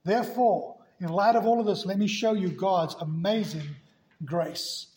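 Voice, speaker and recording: A man's voice: sits high, measured pace, a little mellow. A man preaching a sermon in a church, emphatic, hammering key words again and again. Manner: emphatic, repeats key words with rising force. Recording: echoey room.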